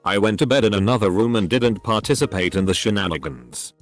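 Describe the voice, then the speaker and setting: A man with a lowish, lively voice narrates personal stories from the internet. His pitch moves around freely as he reads the script. Faint music plays behind him. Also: brisk.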